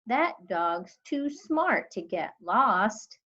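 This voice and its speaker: Storybook little girl, read by a woman: confident, colloquial, drawn-out delivery; slightly dull recording. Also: mid-range voice, sing-song, bright, forceful tone, exaggerated voice. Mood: assured.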